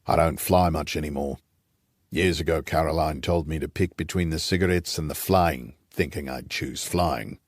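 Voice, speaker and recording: A man voicing an aging, gruff Rhodesian patriarch, a wry and nostalgic old scout, in an audiobook. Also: a bass voice, normal speed, dry recording, studio quality, voice pushed down. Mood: wry reminiscing. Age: older.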